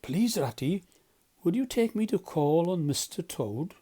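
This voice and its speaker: A man's voice: fairly high pitch, very animated, a little slow, warm tone, pitched above the performer's own voice. A man voicing a timid, polite little mole in a storybook, meek and pleading. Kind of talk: storybook character voice.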